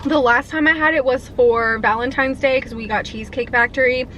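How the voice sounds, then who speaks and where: A young woman in her twenties with a high, bright-pitched voice chats to the camera while she eats. She talks eagerly and loosely, gushing over food and answering questions. A faint hum of background noise sits behind her. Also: steady tone, brisk, dull audio. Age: 20s. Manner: chatty, enthusiastic, talks while eating. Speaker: food vlogger. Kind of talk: casual mukbang chat.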